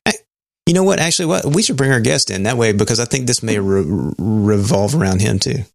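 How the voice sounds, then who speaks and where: A man's voice: lowish voice, animated, a little fast, creaky, no echo. A man co-hosting a podcast in casual chat, talking through technical details with dry humor. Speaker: podcast co-host.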